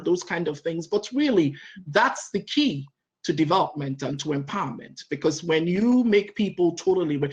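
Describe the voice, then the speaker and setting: A middle-aged woman, answering on a panel with energy, listing her points and checking in with "right?". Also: on the low side. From Nigeria. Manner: energetic, lists points, keeps adding "right?".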